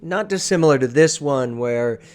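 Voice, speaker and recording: A man's voice: mid-range voice, lively intonation, normal speed, a little mellow, faint music behind. A man giving a conversational, emphatic lesson as a presentation coach, shifting his volume for effect and often checking in with the listener. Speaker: presentation coach, video teacher. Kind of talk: conversational teaching talk to camera.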